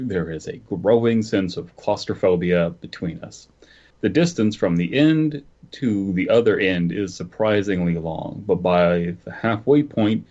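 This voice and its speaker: Really deep husky dude on a podcast, kinda muffled audio. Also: male voice, darkish tone. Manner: hesitant, searching for words. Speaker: podcast co-host. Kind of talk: casual chat and story reading.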